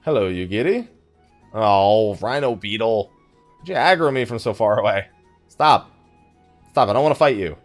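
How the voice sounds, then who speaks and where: A man's voice: fairly low pitch, animated, moderate pace, long pauses, soft background music. A man giving casual let's play commentary, thinking aloud in a wry, conversational way. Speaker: gaming YouTuber, host of the let's play.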